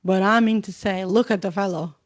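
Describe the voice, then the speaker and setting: A woman's voice: brisk, no room sound, natural voice. A woman voicing a hearty, boisterous male headless ghost who roars jovially. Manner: booming, jovial.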